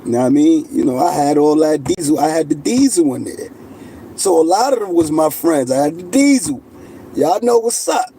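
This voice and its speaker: Mellow-voiced man in a street-slang monologue. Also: fairly high pitch, animated, normal speed, vocal fry, a little hiss or hum. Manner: street slang, insistent, repeats "you know what I mean". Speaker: channel host. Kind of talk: casual monologue to camera.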